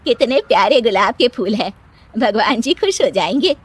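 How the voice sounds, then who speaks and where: A kindly middle-aged mother-in-law from a cartoon family tale, voiced by a woman narrator. She speaks in a fairly high voice, indulgent and gently questioning. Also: animated, moderate pace, husky, no room sound.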